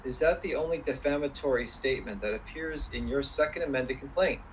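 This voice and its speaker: A man questioning a witness as an attorney, formal and persistent, repeating questions deliberately. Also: medium pitch, slight background noise, rough recording. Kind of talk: formal deposition cross-questioning.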